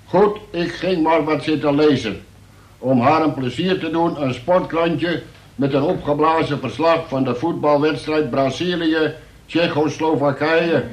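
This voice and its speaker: An actor playing a police sergeant formally reading out a suspect's statement, with small stumbles, in a Dutch radio play. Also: male voice, on the high side. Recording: echoey room.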